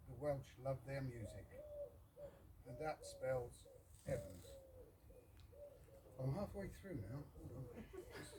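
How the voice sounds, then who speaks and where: A man reads a poem aloud to a gathering of friends in measured, halting short phrases, with informal asides. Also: mid-range voice. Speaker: reader of a poem at a birthday gathering. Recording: distant mic with clear background noise.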